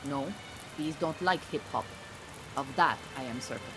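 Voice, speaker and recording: An actress playing a proud, disillusioned Iranian refugee beekeeper in an audio drama, speaking in formal, dry, exacting phrases. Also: female voice, very deep voice, normal speed, over music, low-quality recording. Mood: dry, certain. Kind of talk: naturalistic audio drama acting.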